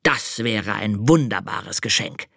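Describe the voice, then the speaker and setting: A narrator voicing a kindly but easily offended old male hare in a children's storybook, slow and musing. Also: medium pitch, vocal fry, a bit bright, husky, no room sound, clean studio sound, heavily acted. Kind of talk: storybook reading.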